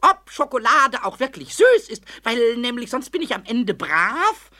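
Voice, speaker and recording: Radio play kobold: very high, squeaky, wildly swooping, childish, stubborn, excitable, repeats words in stutters. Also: male voice, unhurried, exaggerated voice. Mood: gleeful, bargaining. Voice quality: squeaky, high-pitched put-on voice. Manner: stammering repetitions, playful word-twisting argument. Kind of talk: 1970s German children's radio play acting.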